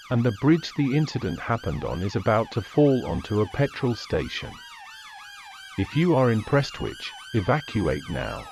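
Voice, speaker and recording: A man's voice: mid-range voice, a little hiss or hum. Formal man reading an emergency alert. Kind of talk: scripted emergency broadcast.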